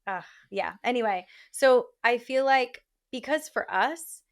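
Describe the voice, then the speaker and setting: A woman's voice: fairly high pitch, expressive, measured pace. A woman hosting a podcast, chatting frankly and casually and giving relationship advice with many "like" fillers. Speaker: podcast host.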